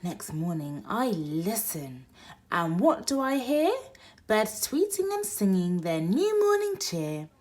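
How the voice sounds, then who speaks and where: A woman reading a picture book aloud for toddlers, expressive, moving between hushed whispers and loud exclaims. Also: mid-range voice, takes it slowly. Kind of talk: read-aloud bedtime story for toddlers.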